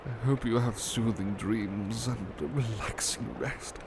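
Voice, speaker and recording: A man playing a gentle, bashful butler turned into a cuddly plush doll in an ASMR roleplay, speaking tenderly and politely with hesitant pauses. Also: lowish voice, background noise, lightly acted. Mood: sleepy, affectionate. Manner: hesitant, polite, tender, halting with soft repetitions. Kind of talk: ASMR roleplay, soothing personal attention.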